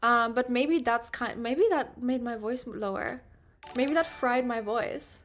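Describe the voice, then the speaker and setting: A woman's voice: on the high side, takes it slowly, fairly bright tone, a little hiss or hum. A woman in her early twenties chatting casually with her stream while doing her makeup, rambling with lots of filler words. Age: 20s. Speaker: livestreamer.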